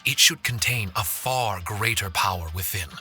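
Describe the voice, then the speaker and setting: Game role: solemn guardian spirit, courteous and measured, on the low side, crisply forceful tone. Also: male voice, faint music behind. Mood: courteous, confident. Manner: formal, measured, earnest speech. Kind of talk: dramatic game dialogue and exposition.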